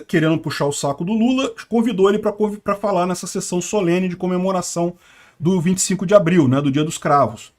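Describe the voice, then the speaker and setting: A Brazilian man giving sarcastic, indignant political commentary. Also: sits high. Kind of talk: opinionated news commentary.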